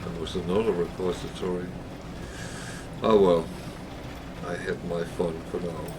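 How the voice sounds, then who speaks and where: A man streaming a game, his voice husky and crackling today, thinking aloud with many fillers and pauses. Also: low-pitched, fairly even intonation. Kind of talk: casual gameplay commentary.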